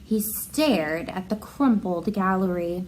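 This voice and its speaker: Animated woman reading to children. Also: medium pitch, slow pace, slight background noise, low-quality recording. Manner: expressive, encouraging, addresses children directly. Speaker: art teacher reading to pupils. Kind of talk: children's picture book read-aloud and encouraging talk.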